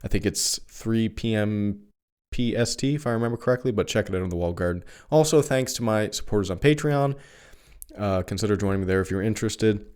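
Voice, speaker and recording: A man with a fairly low voice talks through his own podcast in a loose, conversational way. He often restarts sentences and goes off on small asides. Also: fairly quick, darkish tone, clear, resonant tone. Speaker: podcast host. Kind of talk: solo philosophy podcast monologue.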